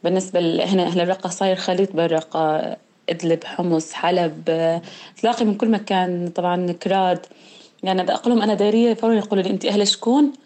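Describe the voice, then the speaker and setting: A woman from eastern Syria co-hosts a podcast in relaxed conversation. She speaks with a Deir ez-Zor Euphrates Arabic accent, and her speech runs quick. She laughs often. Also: on the low side. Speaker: podcast co-host. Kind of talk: casual chat.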